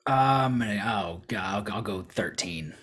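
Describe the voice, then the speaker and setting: A man's voice: fairly low pitch, takes it slowly, fairly bright tone, husky. A man competing in a casual debate, playful and brash with his trash talk. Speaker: debate show contestant.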